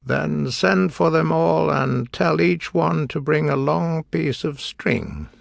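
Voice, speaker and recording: A man's voice: on the high side, lively intonation, takes it slowly, vocal fry, dry recording, clean studio sound, pitched above the performer's own voice, exaggerated voice. A man reading aloud and voicing a friendly, earnest male scarecrow in a slightly raised voice.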